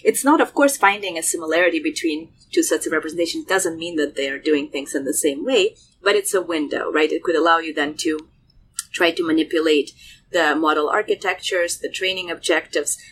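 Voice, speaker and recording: A woman's voice: sits low, brisk, a little hiss or hum, poor audio. A woman from Russia, a native Russian speaker, explaining neuroscience conversationally as a guest, with lots of fillers and restarts. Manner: animated, many fillers and restarts.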